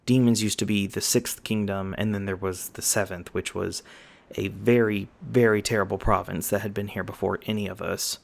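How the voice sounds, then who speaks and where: Guy doing a laid-back wizard guide, deepish creaky voice, folksy asmr roleplay storytelling. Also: steady tone, moderate pace. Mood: storytelling, thoughtful. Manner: folksy, patient explaining. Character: easygoing male magi guide, folksy, learned storyteller.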